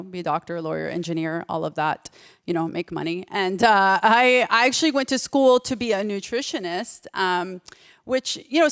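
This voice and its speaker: A woman delivers a stand-up comedy set with a smooth, clear voice. She talks conversationally, often breaking off and picking the thought up again. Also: mid-range voice. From United States. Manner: conversational, self-interrupting, acts out quotes.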